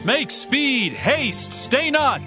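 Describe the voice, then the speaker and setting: Guy doing earnest young bible prince, high bright voice, urgent, bit of music under. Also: lively intonation, very slow, heavily acted. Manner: urgent, declamatory. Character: earnest, impassioned young prince and loyal friend. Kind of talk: dramatized scripture reading, archaic English.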